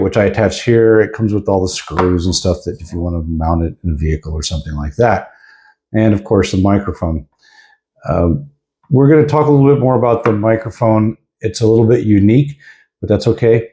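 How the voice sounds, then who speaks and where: A man's voice: lowish voice, a little mellow. An American man giving a casual, informal product review, explaining features a little hesitantly. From United States. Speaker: radio reviewer. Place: indoors at a desk. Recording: roomy, echoing indoor sound.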